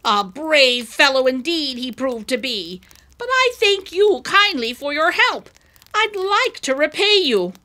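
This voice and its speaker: Woman voicing a high, excitable tiny leprechaun man. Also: measured pace, full character voice. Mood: grateful. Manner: exclamatory, scolding, emphatic. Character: tiny male leprechaun, excitable, sharp and bossy.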